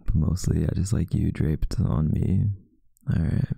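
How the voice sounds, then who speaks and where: A man playing a sleepy, teasing, affectionate boyfriend in an intimate ASMR roleplay, murmuring drowsily with husky breath and hesitant pauses, close to the mic. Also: very deep voice, fairly even intonation, dark tone, no room sound, heavily acted. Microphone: close mic, dry.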